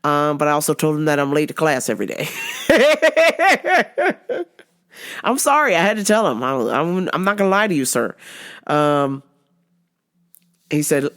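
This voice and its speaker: Woman host, stuffy and sore-throated, unhurried, swears freely, laughs a lot. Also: sits low, big pitch swings, a bit bright. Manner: profane, rambling, laughs often. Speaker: podcast host. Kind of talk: casual solo podcast monologue.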